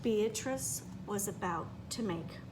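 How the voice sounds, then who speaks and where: A woman reading a children's picture book aloud in an expressive, animated way, pausing to put questions to her listeners. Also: medium pitch, noisy background. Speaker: read-aloud storyteller.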